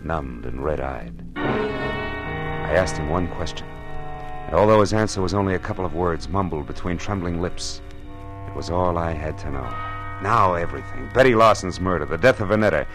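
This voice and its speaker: A man's voice: low-pitched, big pitch swings, normal speed, over music. An actor playing a tough, wisecracking private eye who narrates his own case in first person, in old-time radio drama style. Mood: narrating. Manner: hard-boiled, wry first-person narration. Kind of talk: 1940s radio drama acting.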